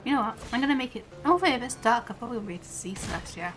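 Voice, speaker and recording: Female game streamer, slightly high voice, casual rambling commentary, light music underneath. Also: expressive, racing, a bit bright. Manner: chatty, rambling train of thought. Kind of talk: casual live gameplay commentary.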